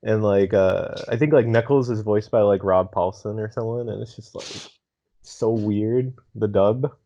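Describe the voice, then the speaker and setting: A man's voice: on the low side, normal speed, dark tone. A man in casual podcast chat, hesitant and slangy, on a slightly dull microphone.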